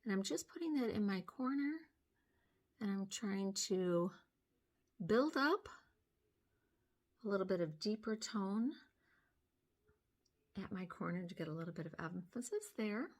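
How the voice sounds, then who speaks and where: An older woman with a slightly hoarse voice, gently talking through a makeup tutorial step by step, filmed close on a phone at home. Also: medium pitch, expressive, a little slow, long pauses. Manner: gentle, step-by-step explaining while applying makeup. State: hoarse from sinus trouble. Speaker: beauty vlogger. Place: small room at home, indoors. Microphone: phone camera close up.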